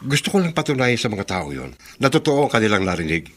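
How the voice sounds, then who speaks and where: An actor playing an earnest, remorseful local guide in a Filipino horror radio drama, somewhat defensive in manner. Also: male voice, lowish voice, sing-song, fast.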